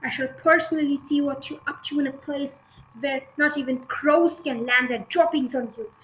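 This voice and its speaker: Brief: storybook bully headmistress, shouting, ranting, put on by a woman reader, high and bright. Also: moderate pace, slight background noise, low-quality recording. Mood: raging. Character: furious bullying woman headmistress, raging and cruel.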